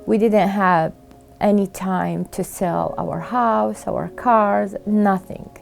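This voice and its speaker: A middle-aged woman with an Iraqi Arabic accent telling her own story in an interview, halting, with many fillers and pauses. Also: mid-range voice, fairly even intonation, vocal fry, soft background music. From Iraq. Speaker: refugee sharing her story. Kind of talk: interview testimony.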